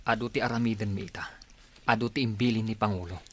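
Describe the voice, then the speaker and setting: A man's voice: fairly low pitch, fairly even intonation, fast, close to an everyday voice. A man with an Ilocano accent playing a torn, reluctant husband and rebel in a radio drama. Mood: brusque, hurried. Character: Edgar, torn rebel group member, reluctant husband and father. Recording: music under the voice. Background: music.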